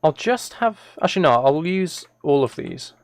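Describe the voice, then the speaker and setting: A man's voice: on the high side, sing-song, normal speed, gravelly, no room sound, clean studio sound. A man giving casual gaming livestream commentary, thinking aloud and correcting himself as he chats with viewers. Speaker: live streamer.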